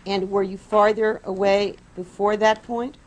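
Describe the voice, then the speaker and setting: A woman's voice: mid-range voice, level tone, measured pace, poor audio. A woman lawyer examining a witness in court with probing, precise questions.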